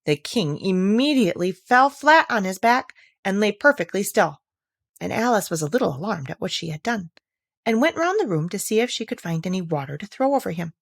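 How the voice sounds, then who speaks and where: Lady reading a kids story out loud, expressive, normal pitch, really dry recording. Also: a little fast, gravelly, dry recording. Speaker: audiobook reader.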